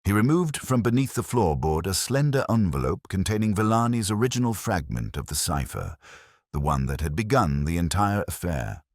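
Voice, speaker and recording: A man narrates a detective tale in a very low voice. His delivery is scripted storytelling with lively movement in pitch, recorded close and dry. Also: normal speed, no room sound. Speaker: audiobook narrator.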